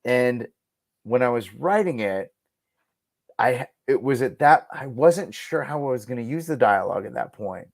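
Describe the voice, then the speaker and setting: A man with an average-pitched voice talks casually as an interview guest. He is emphatic and enthusiastic, telling things in an animated conversational way. The recording is dry and close. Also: normal speed, vocal fry, no room sound. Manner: enthusiastic, emphatic, acts out quoted lines. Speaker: guest, radio producer and audio drama creator.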